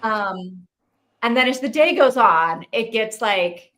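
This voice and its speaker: A woman's voice: on the high side, expressive, slow pace, fairly bright tone. Chatty woman, casual livestream. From dad Saudi, mom German. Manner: chatty, lots of "like" and "right?". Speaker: book club co-host.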